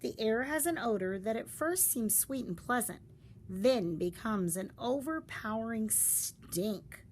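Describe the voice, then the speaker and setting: Female storybook reader, slightly high voice, very varied intonation, dramatic and deliberate delivery. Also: expressive, darkish tone. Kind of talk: expressive read-aloud of a story. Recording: faint background noise.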